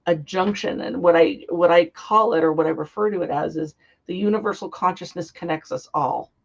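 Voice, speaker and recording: A woman guest, slightly hoarse from a cold, explaining in an earnest, heartfelt way. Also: lowish voice, brisk. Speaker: guest psychic. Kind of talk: interview conversation. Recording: dull lo-fi sound.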